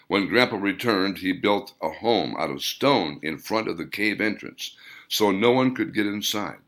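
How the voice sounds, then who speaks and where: Low, creaky-voiced man narrating. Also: lively intonation, a little slow, a bit bright. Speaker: author reading own novel. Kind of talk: scripted audiobook narration.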